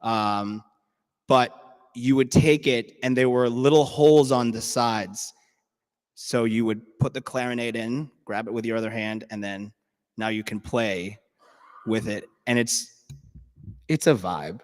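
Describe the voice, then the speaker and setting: A man's voice: medium pitch, fairly even intonation, a little slow. Chatty bandleader man in a reverberant hall. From New York City. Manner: chatty and playfully nerdy, lots of ums and restarts. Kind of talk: casual talk to a live audience.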